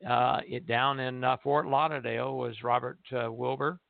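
An older man hosts a radio talk show in a gravelly voice. He sounds close and dry, but the line is narrow and phone-like. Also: mid-range voice, flat delivery, normal speed, no room sound. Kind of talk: reading an article aloud and casual chat.

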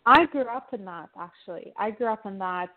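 A woman's voice: medium pitch, expressive, a little fast. A woman from Lebanon, a guest financial therapist, explaining her views in a thoughtful way with frequent restarts. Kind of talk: casual interview talk. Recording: tinny phone-like line.

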